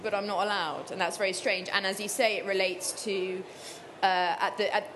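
A woman's voice: medium pitch, level tone, brisk. Thoughtful woman author, noisy room. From Cyprus. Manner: thoughtful, with many fillers and restarts. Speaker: author guest. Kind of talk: reflective interview answers.

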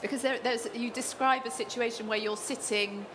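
A woman interviewer asking gentle, searching questions, with fillers as she forms them. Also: fairly high pitch, steady tone, moderate pace, noisy background.

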